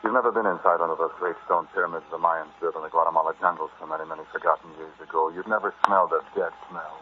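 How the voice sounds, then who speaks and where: A man's voice: deep voice, fairly even intonation, quick pace, natural voice. An actor in an old-time radio drama playing a flippant, stubborn explorer husband who hides his fear, telling his tale in a wry, conversational way. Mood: haunted. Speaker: narrator and lead actor. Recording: muffled, noisy vintage radio recording.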